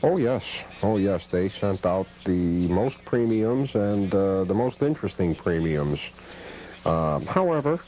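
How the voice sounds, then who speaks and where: A middle-aged man giving halting, measured answers as an interview guest in his own home. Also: low voice, lively intonation, mellow. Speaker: guest, radio memorabilia collector. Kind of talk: casual interview recollection.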